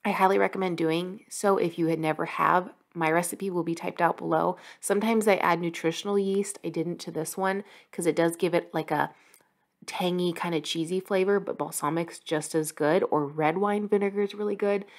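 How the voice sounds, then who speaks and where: A woman's voice: on the low side, steady tone, normal speed. A young adult woman chatting casually over a home cooking vlog, upbeat and full of filler words. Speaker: vlogger. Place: home kitchen.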